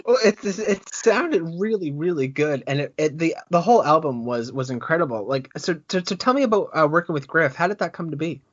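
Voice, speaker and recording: Male podcast host, slightly high voice, eager and stammering, muffled dry recording. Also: expressive, a little fast, few pauses, dry recording. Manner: eager, stumbling, repeats words. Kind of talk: casual interview podcast.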